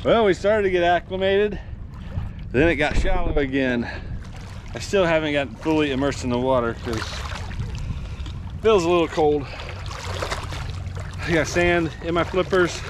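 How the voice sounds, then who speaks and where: A man with a slightly high voice narrates his kayaking outing in an easygoing, chatty way. He talks outdoors into an action camera while standing in shallow sea water, and splashing water and open-air noise sit clearly behind him. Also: moderate pace, pauses often. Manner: easygoing, chatty, laughs often. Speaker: kayaking channel host. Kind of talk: casual outdoor vlog narration.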